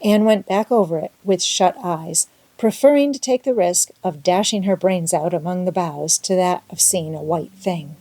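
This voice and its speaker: A woman reading a scripted story aloud as an expressive audiobook narrator. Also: fairly low pitch, moderate pace, hardly any pauses, no echo.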